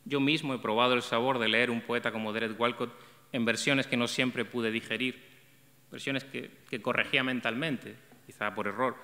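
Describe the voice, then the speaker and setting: A middle-aged man sits at a table microphone and reads a prepared essay in a measured, reflective way, now and then restarting a phrase. His voice is bright and forceful, carried by the long reverb of a large hall. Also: medium pitch. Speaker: event coordinator presenting a talk on translation. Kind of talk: reading a prepared essay aloud. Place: arts center stage, seated at a table.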